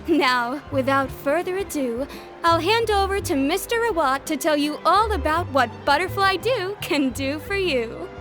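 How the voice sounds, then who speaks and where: A bright, formal product announcer. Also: female voice, sits high, expressive, a little slow. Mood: enthusiastic. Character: upbeat female event presenter. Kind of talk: video game voice acting. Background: game music.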